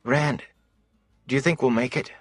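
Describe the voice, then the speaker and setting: A man's voice: mid-range voice, steady tone, moderate pace, fairly bright tone, dry recording, soft background music, voice pushed up, natural voice. A man voicing a grumbling, anxious young traveller in a fantasy audiobook, with a muttering, complaining manner. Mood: anxious, subdued.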